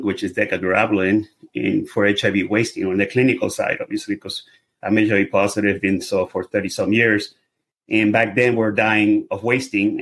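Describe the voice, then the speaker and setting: A man's voice: lowish voice, a bit bright, slightly rough. A middle-aged man from Venezuela with a Venezuelan accent, explaining things in a casual interview, with loose run-on sentences and restarts. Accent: Venezuelan Spanish. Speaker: guest, lab testing business owner.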